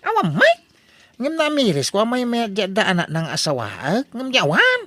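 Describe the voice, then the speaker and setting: A man plays an eager, scheming husband in a comedy radio drama. His voice swings wildly up and down with exaggerated feeling, bright and forceful in a dry, close recording. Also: very high voice, wildly swooping pitch, moderate pace, no room sound, full character voice. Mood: pleading.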